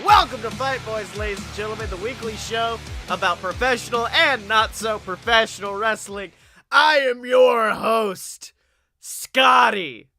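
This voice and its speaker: A young man hosting a casual wrestling podcast in an excitable, playful, hyped-up way. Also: sits high, measured pace, creaky, soft background music. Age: young adult. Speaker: podcast host. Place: small room at home, on a video call.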